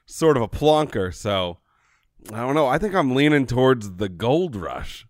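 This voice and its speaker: A man co-hosting and playing a tabletop game, jokey and animated. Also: medium pitch, measured pace, no room sound, clean studio sound. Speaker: player and co-host. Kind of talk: casual tabletop chat.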